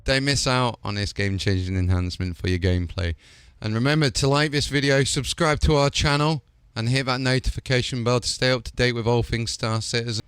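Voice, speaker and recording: A man's voice: medium pitch, fairly even intonation, fairly quick, hardly any pauses, fairly bright tone, clear, resonant tone, no room sound. A man hosting a gaming channel, chatting casually and enthusiastically about game add-ons before a promotional wrap-up. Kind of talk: casual showcase chat and promo.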